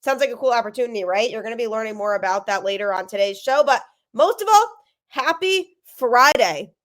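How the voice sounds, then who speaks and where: A woman's voice: fairly high pitch, a little fast. A young woman hosting a sports podcast, talking energetically with a stuffy voice from a cold. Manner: energetic, emphatic. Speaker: podcast host.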